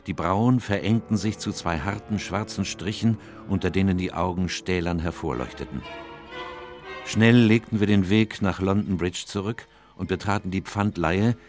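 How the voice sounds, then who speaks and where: An actor playing a grave, compassionate gentleman in a radio drama, narrating in a measured, solemn, literary manner. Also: low-pitched, faint music behind, natural voice. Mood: grave, concerned.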